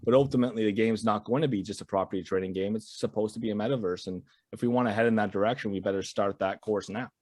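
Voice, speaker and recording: A man's voice: lowish voice, quick pace, few pauses, a little mellow, no room sound, studio quality. A man talking as a guest on a podcast, in casual and emphatic chat that often repeats words as he starts.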